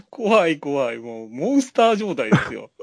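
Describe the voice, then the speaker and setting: A man's voice: on the high side, gravelly, slightly rough, dry recording. A man co-hosting a podcast, chatting casually about films. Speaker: podcast co-host. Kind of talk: casual film discussion.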